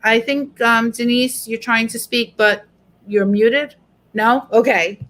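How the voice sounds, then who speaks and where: A woman's voice: fairly high pitch, steady tone, slow, a bit bright. A middle-aged woman teacher, walking a group through an online workshop in a chatty, explanatory way. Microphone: video call.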